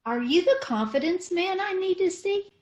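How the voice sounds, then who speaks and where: A woman's voice: very high voice, expressive, normal speed, highs cut off. A woman playing a scatterbrained middle-aged housewife in an old-time radio comedy, naive and earnest, mixing up her words, heard over a video call.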